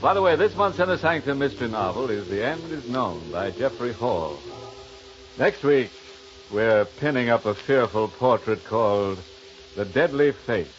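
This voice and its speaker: A man acts as a ghoulish, jovial host of a radio horror show, with a sardonic, theatrical delivery and a mid-pitched male voice. It is a dull 1950s recording with music clearly behind him. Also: lively intonation, normal speed, natural voice. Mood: sly sign-off.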